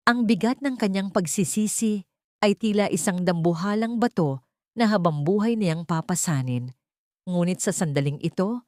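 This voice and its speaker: A woman with a Filipino accent reading a dramatic story as an audiobook narrator, in a solemn, measured way. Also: on the low side, a little mellow, dry recording, clean studio sound.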